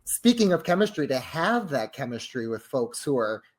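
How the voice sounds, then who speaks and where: A man's voice: medium pitch, very animated, moderate pace. A man on a panel chatting casually in an earnest, reflective way. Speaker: panelist on a behind-the-scenes show. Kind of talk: casual chat.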